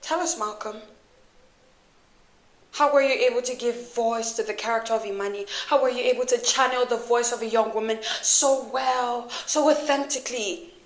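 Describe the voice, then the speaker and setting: A woman's voice: fairly high pitch, moderate pace, close to an everyday voice. A woman performing a dramatic film monologue as a wounded, angry young woman who confronts her lover with intense, profane accusations. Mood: mocking, restrained. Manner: profane, accusatory, intense, swinging from hushed hurt to loud scorn. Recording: echoey room.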